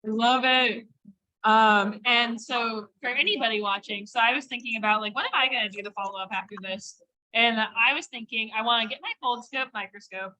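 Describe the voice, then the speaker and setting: A woman's voice: on the high side, fairly even intonation, normal speed, pushed and bright, rough recording. A young woman hosting an interview over a video call, enthusiastic and upbeat. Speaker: interview host. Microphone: laptop mic on video call.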